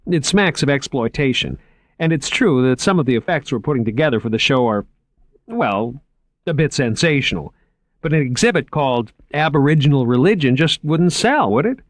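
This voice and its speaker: A man voicing an eager, shy young male museum curator who talks fast and stammers when he gets flustered. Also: mid-range voice, animated, no room sound, voice pushed up, close to an everyday voice. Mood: opinionated.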